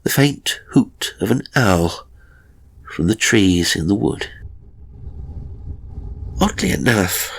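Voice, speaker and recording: Gravelly male horror-story narrator. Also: fairly low pitch, lively intonation, poor audio. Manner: measured, suspenseful, with deliberate pauses. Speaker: audiobook narrator. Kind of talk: dramatic first-person horror story narration.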